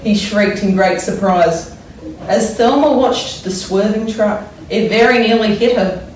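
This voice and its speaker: A woman teacher reading a storybook aloud to young children, expressively and deliberately, pausing to wonder aloud about it. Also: medium pitch. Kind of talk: expressive children's read-aloud with think-aloud explanations. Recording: echoey room, distant mic, faint noise.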